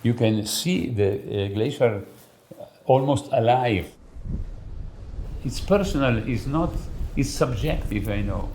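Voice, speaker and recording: Guy, super slow and halting interview talk, echoey with a bit of noise. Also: mid-range voice, darkish tone. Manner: halting, searching for words. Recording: roomy echo, faint ambient noise.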